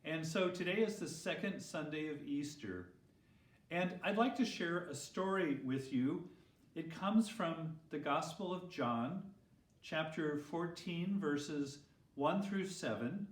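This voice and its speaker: A man, a pastor, reading a children's story expressively with deliberate phrasing. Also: on the high side. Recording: roomy echo, distant microphone.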